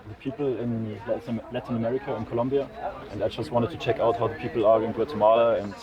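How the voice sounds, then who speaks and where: A man's voice: lowish voice, fast, lo-fi sound. Munich guy, chill answers, crowd noise. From Munich, Germany. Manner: relaxed, thoughtful, sometimes searching for a word. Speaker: tourist being interviewed. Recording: crowd chatter around.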